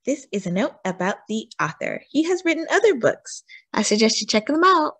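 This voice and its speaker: A woman's voice: fairly high pitch, very animated, normal speed, fairly bright tone. A woman reading a children's story aloud expressively, stretching words out for theatrical emphasis.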